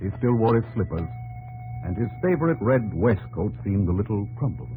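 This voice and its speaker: Man as young secretary narrating, lively, over music. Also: lowish voice, moderate pace, phone-quality sound, close to an everyday voice. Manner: crisp, respectful, storytelling. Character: dutiful young male presidential secretary and narrator. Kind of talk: 1950s radio drama narration and acting. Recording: old radio broadcast with music behind.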